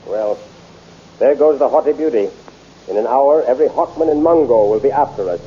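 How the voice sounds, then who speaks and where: An actor playing a calm, steady nobleman with a measured delivery, on a muffled old radio recording. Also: mid-range voice, over music, lightly acted. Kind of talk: 1930s radio drama acting.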